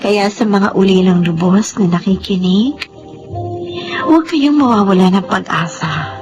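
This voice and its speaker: A woman playing a devout, tender young orphaned woman in a Filipino radio drama, speaking slowly and with emotion. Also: mid-range voice, over music, close to an everyday voice. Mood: hopeful, reflective. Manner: slow, emotional, earnest.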